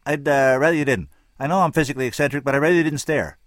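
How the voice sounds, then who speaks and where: A man voicing a chatty young male jazz pianist in his twenties who tells rambling stories loudly. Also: medium pitch, racing, no echo, studio quality, pitched above the performer's own voice, natural voice. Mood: animated. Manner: loud rambling storyteller.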